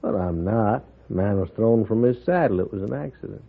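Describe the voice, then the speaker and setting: Wealthy Western rancher: American, cordial yet stubborn, husky delivery, thin old radio broadcast sound. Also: male voice, on the low side, animated, brisk. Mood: aggrieved, insistent. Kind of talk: 1950s Western radio acting. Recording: narrow-band 1950s radio recording.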